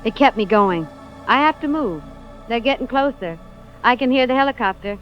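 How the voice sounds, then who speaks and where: A woman's voice: on the high side, animated, normal speed, fairly bright tone. Casting: actress playing resolute woman on the run, radio-drama field message, urgent clipped phrases, dull transmission sound over music. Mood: tender, urgent. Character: determined fugitive woman investigator leaving a last message.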